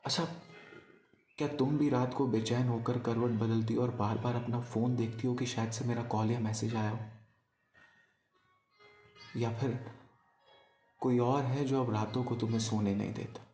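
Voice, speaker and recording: A man performing a poetic love monologue as a storyteller, in a wistful, deliberate manner with pauses. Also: medium pitch, little pitch movement, mellow, echoey room. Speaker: spoken-word storyteller. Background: music.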